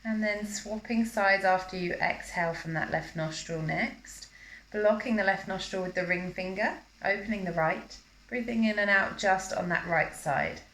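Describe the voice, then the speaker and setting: A woman teaching yoga, guiding a breathing practice in a calm, measured, instructional manner during a live class. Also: mid-range voice. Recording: roomy, echoing live class recording.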